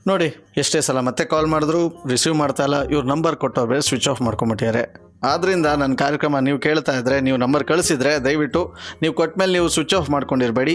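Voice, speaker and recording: A man with a Karnataka Kannada accent hosting a prank phone call in a colloquial, teasing and argumentative way. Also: mid-range voice.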